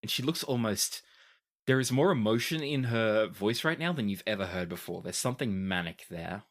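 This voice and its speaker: A game master narrating a tabletop game with deliberate, dramatic scene-setting. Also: mid-range voice, lively intonation.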